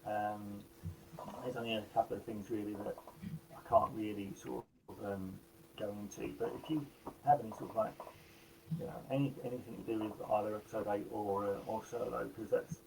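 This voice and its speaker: A man with a croaky voice, a guest actor talking in a casual live chat, halting with many restarts. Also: lowish voice, steady tone, noisy background.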